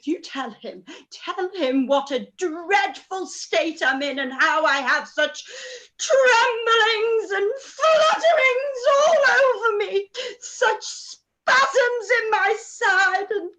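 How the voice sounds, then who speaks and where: A woman's voice: extremely high pitch, lively intonation, slow, few pauses, pushed and bright, heavily acted, low-quality recording. A woman playing a hysterical, self-pitying middle-aged mother in a period radio play, wailing and gasping melodramatically. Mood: frantic, wailing. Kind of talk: live-read radio play acting of period drama.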